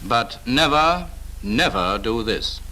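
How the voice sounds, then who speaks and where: A man's voice: mid-range voice, lively intonation, slow, sharp tone. A British man narrating a 1940s instructional film in a clipped, authoritative, instructive manner, on an old film soundtrack.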